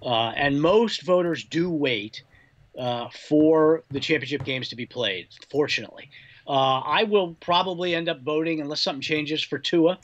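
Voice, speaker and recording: An American man, a sportswriter guest, talking through college football analysis in a measured, conversational way. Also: on the high side, dull audio. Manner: measured, reasoning through points.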